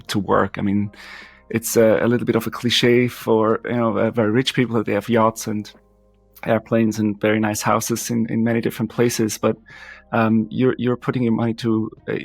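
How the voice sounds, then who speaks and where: A man hosting an interview in a conversational, halting way, feeling his way through his questions. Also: fairly low pitch, creaky. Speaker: podcast host, interviewer.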